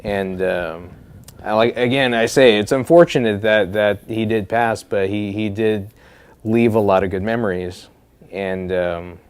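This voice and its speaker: An easygoing male podcast host speaks in a smooth, lowish voice and keeps the chat loose. He asks questions and pauses on "uh" now and then. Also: steady tone.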